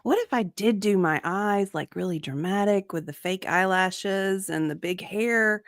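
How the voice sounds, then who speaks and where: A woman's voice: medium pitch, a little slow, no room sound, studio quality. A woman co-hosting a stream with casual, chatty banter. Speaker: stream co-host.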